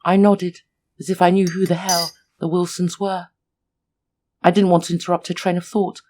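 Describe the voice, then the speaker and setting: A woman's voice: lowish voice, flat delivery, moderate pace, darkish tone, a little hiss or hum. A woman narrating a scripted first-person horror story. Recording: music under the voice.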